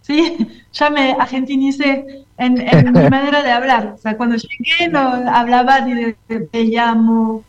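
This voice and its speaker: A woman from France speaking Spanish with a French accent in a casual interview, often pausing to search for words. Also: on the high side, steady tone, dull audio. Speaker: interview guest, French cultural institute representative.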